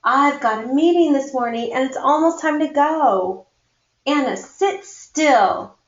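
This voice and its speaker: Woman acting a stern, scolding mom, high-pitched. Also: animated, a little slow, echoey room, recorded from a distance, muffled recording. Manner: stern, exasperated. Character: hurried, scolding mother of young children.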